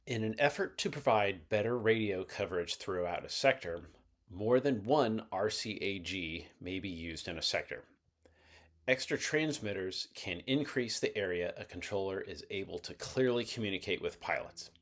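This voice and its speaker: Male explainer narrator, lower register, measured and methodical scripted read. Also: lively intonation.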